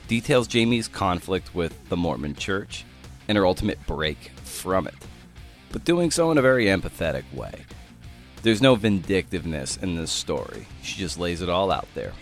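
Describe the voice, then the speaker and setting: A man working as a podcast host, moving between scripted introduction and casual interview talk. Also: sits low.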